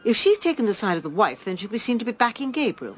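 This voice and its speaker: An actress playing a brisk, sceptical woman campaigner in a radio drama. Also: a little high, very animated, husky.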